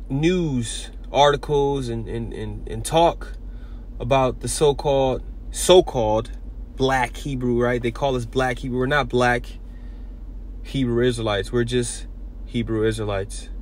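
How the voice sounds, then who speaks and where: A man giving an informal sermon video, talking in halting bursts with many repeats and restarts. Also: medium pitch, slight background noise. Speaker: preacher.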